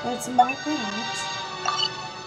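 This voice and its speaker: A woman giving casual, halting video game playthrough commentary, repeating phrases, with loud game music behind. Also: a little high. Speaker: gaming streamer.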